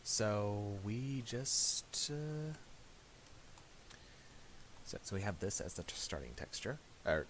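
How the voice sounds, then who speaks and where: A man giving a casual software tutorial, speaking haltingly and restarting his phrases as he explains each step. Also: deep voice, a little hiss or hum. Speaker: tutorial presenter.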